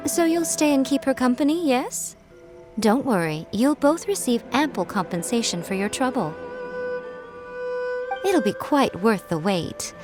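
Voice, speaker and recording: A woman's voice: fairly high pitch, big pitch swings, measured pace, over music. A woman playing a sly, self-assured head of a shrine in a video game, with a playful, coaxing manner. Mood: teasing, persuasive.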